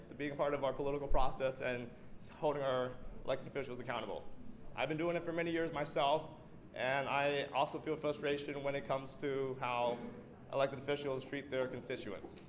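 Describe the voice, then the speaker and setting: A man's voice: mid-range voice, brisk. A man from San Diego giving a candidate's closing remarks at a public forum in an earnest, repetitive way, over a mic in a large hall. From San Diego, USA. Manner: earnest, repetitive speech-making. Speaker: council candidate. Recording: noisy crowded hall.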